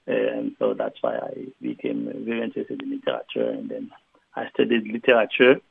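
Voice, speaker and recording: Man, gravelly voice over phone. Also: on the low side, very animated, slight background noise. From Haiti. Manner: halting, many fillers and restarts. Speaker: guest, historian and literature professor. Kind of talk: conversational interview answers. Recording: phone line.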